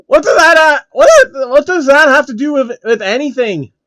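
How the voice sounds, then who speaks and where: A man reading a comedic fan story aloud, breaking off to react loudly and laugh at the text. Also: very high voice, expressive, moderate pace, no echo. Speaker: story reader.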